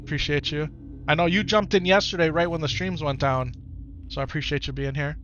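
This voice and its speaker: Man, Upper Midwest American accent, fairly high voice, casual game-stream chatter, talks to viewers. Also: steady tone, a little fast, a bit bright, dull audio, slight background noise. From Wisconsin, United States. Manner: chatty, talks to his viewers, repeats phrases. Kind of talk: casual live-stream chat over gameplay.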